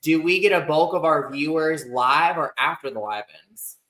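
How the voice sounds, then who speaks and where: Chatty guy in his 30s cohosting, bright edgy tone, rambles with lots of ums. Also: on the high side, normal speed, a little hiss or hum, rough recording. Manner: chatty, rambling, full of fillers. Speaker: podcast co-host. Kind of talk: casual chat.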